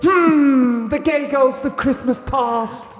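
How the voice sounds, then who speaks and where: A performer voicing a flamboyant male ghost of Christmas past in a comic play reading, booming and theatrical with drawn-out hums between lines. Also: echoey room, long reverb tail. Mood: grandly mysterious.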